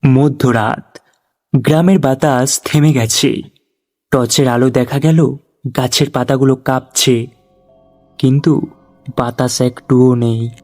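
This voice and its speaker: A man narrating a scripted ghost story for an animated horror tale. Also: mid-range voice, slow pace, long pauses, soft background music.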